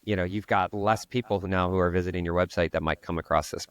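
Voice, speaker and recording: A man's voice: low voice, fairly even intonation, fast. A man co-hosting a casual marketing podcast, explaining things step by step as he thinks aloud. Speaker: podcast co-host.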